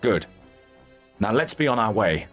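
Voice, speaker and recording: A man playing a gruff, confident mercenary commander, curt and dry, in a fantasy video game. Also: on the low side, sing-song, a little slow, soft background music. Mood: decisive.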